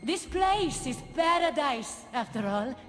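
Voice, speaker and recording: A woman's voice: high-pitched, expressive, slow pace. A woman playing a poised, gracious, possessive goddess queen of a paradise realm in a video game cutscene. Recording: long reverb, music behind.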